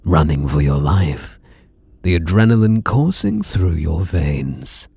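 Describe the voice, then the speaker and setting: A man's voice: deep voice, very animated, takes it slowly, gravelly, darkish tone, husky, no echo, heavily acted. A British man playing a sadistic, obsessive masked killer in an ASMR roleplay, teasing and menacing with mock tenderness and whispered words. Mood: gloating, possessive.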